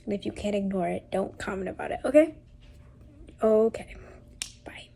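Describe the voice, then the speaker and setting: A woman's voice: medium pitch, lively intonation, moderate pace, vocal fry, warm tone, slightly rough. A sick, congested woman recording a casual voice-over aside while editing, chatty and mildly exasperated. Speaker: video editor voice-over. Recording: faint background noise.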